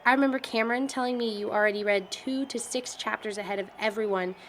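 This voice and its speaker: A woman's voice: on the high side, fairly even intonation, fast talker, a bit bright, a little hiss or hum, lightly acted. A young woman playing a chatty college student in an indie film, with a teasing, informal manner. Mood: playful, teasing. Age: young adult. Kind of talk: naturalistic amateur film dialogue.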